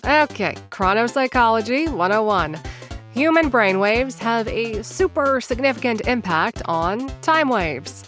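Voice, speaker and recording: A woman's voice: a little high, lively intonation, a little slow, a bit bright. Woman narrator, wry, flustered, casual, faint music underneath. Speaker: time-traveler narrator addressing listeners. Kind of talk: audio drama narration and acting.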